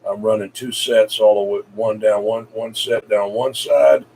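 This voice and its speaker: Southern US man, low-pitched, folksy rambling radio chat, recorded off-mic with some noise. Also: flat delivery, normal speed, darkish tone. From South Carolina, United States. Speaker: CB radio operator. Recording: distant mic with background hiss.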